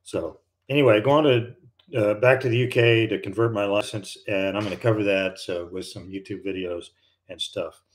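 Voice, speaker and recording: A man's voice: fairly low pitch, fairly even intonation, moderate pace. An older American man hosting a live stream, chatting casually and rambling with many fillers and restarts. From United States. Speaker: livestream host, retired pilot.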